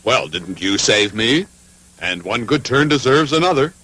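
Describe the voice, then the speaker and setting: A man voicing a loyal, excitable big dog in a dubbed cartoon, husky and strained, emphatic and heartfelt. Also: mid-range voice, a little slow, exaggerated voice, rough recording. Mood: cheerful, explaining.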